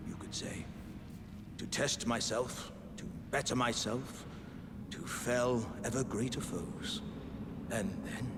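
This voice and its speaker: An actor voicing a jovial, hearty living warrior vessel in a fantasy game, chummy and theatrical. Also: male voice. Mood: earnest, proud. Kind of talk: scripted fantasy game dialogue. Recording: reverberant, loud ambient rumble behind.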